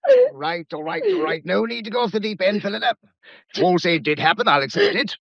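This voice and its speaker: An actor in a radio drama playing a brisk, sceptical gentleman who is impatient, clipped and dismissive. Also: high-pitched, wildly swooping pitch, creaky, dry recording, exaggerated voice. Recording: slightly dull, dry studio sound.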